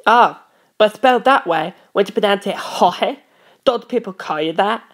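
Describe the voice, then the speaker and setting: A woman's voice: mid-range voice, expressive, moderate pace, natural voice. A woman voicing a brash, teasing teenage older sister in an audiobook, chatty, blunt and wry. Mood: surprised, curious. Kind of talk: audiobook character voice.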